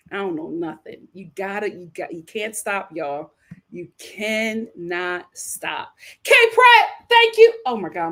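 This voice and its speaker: A woman hosting a casual livestream, chatting and giving opinions in a theatrical, playful, emphatic manner with drawn-out words. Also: mid-range voice. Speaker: livestream host reacting to viewer messages. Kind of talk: casual live chat and opinion commentary.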